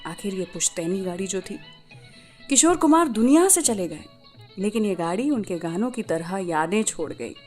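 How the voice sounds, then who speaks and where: An Indian woman hosting a radio show, telling stories in an expressive, scripted way and switching between Hindi and English. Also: mid-range voice, normal speed, soft background music. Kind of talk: scripted radio storytelling about classic film music.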